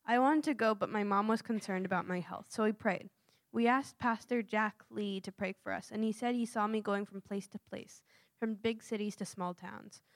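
A woman's voice: mid-range voice, dry recording, clean studio sound. Earnest young woman giving a testimony. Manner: earnest, nervous, with small laughs. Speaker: church mission trip speaker. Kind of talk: reading a prepared testimony.

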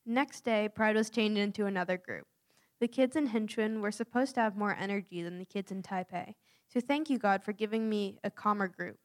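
An earnest young woman reads a prepared personal account aloud at a steady pace. Her voice sits in an ordinary middle range for a woman. She is from the United States and speaks as a youth mission volunteer. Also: medium pitch, fairly quick.

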